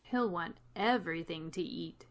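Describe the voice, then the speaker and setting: A woman's voice: lowish voice, moderate pace, lightly acted. A woman playing a wry, long-suffering schoolteacher in old-time radio comedy, with a dry, wisecracking delivery. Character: wry, long-suffering female high school English teacher. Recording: noticeable noise with clicks.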